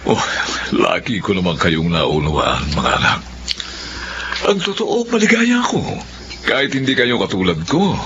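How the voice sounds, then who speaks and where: A man's voice: deep voice, sing-song, unhurried, a bit bright, exaggerated voice. A man playing a fatherly older retired soldier who chuckles with his grown children in a radio drama. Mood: fond. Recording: dull lo-fi audio, hissy noise.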